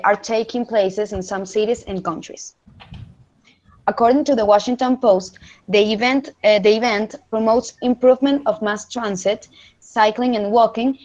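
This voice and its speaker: A woman's voice: mid-range voice, darkish tone. A young woman, speaking hesitant, self-correcting English in an online chat. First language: Spanish. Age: young adult. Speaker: student participant. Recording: dull lo-fi voice call.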